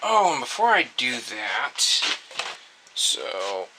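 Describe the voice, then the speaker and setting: A man's voice: medium pitch, very animated, takes it slowly, background noise. A man casually demonstrating a hands-on repair, thinking aloud as he works. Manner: thinking aloud while working. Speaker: host of an antique radio repair video.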